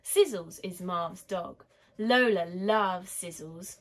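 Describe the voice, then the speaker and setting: A woman in her thirties reading a children's picture book aloud in an animated, expressive way, now and then addressing the listener. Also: fairly low pitch, slow pace. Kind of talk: animated bedtime story reading. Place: small bedroom, indoors.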